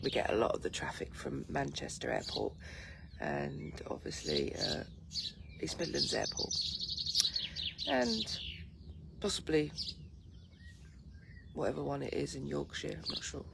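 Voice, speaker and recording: A slow-talking woman with an English accent and a very gravelly, croaky morning voice. She chats sleepily and easily to viewers on her phone. Loud birdsong fills the outdoor air around her. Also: rumbling low, very noisy. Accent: English, Lincolnshire. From England. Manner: relaxed, rambling, warm-hearted chatter with many ums. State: just woken, sleepy. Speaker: vlogger greeting live viewers. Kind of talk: casual chatty morning livestream. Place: outdoors in a garden. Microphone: phone camera. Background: birdsong and light breeze.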